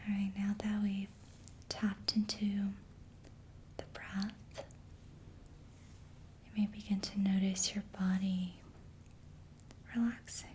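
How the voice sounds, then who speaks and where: A woman speaking in a breathy whisper, giving slow, soothing ASMR relaxation guidance with gentle instructions. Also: level tone. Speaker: ASMR reiki practitioner. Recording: faint background noise with low rumble.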